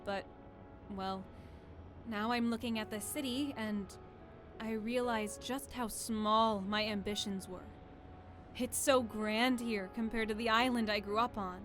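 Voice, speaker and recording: High female voice, actress as proud would-be conqueror, imperious, assured, audio drama, music underneath. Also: fairly even intonation, a little slow, a bit bright. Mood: proud, defiant. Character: proud, ambitious exiled young female royal, would-be conqueror.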